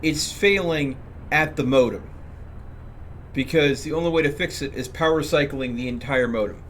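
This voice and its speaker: A man with a fairly high-pitched voice chats through a live gaming stream. He talks casually and explains things step by step in a loose, rambling way. There is clear background noise behind his voice. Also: moderate pace.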